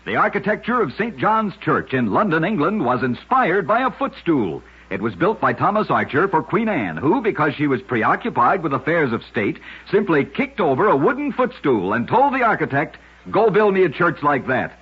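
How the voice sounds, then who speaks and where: Male radio host, raspy and lively in pitch, scripted oddity narration, emphatic storyteller read, muffled recording. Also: normal speed.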